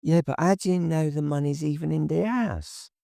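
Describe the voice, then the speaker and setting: A man's voice: on the high side, normal speed, gravelly, dark tone, no room sound, studio quality, voice pushed up. A man reading an audiobook, voicing a timid, frightened young woman with a small, pleading voice. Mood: nervous. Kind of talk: audiobook character voice.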